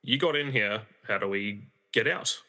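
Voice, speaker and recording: A man's voice: lowish voice, lively intonation, moderate pace, bright, forceful tone. A man playing a flippant thrill-seeker trapped in a movie, in a conversational comedy radio play. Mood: impatient.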